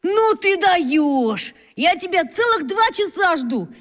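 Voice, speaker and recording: A woman's voice: extremely high pitch, big pitch swings, takes it slowly, fairly bright tone, heavily acted. A woman acting a huffy, jealous schoolboy in a children's radio play, indignant and sarcastic. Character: huffy, jealous schoolboy, quick to take offence.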